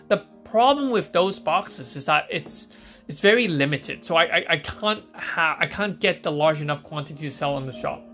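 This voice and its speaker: A man from Hong Kong hosts a live stream in a loose, chatty way, fielding viewers' questions as they come in. His voice sits a little high for a man. Faint music plays behind him. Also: fairly bright tone. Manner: chatty, reads out viewer questions and answers them on the spot.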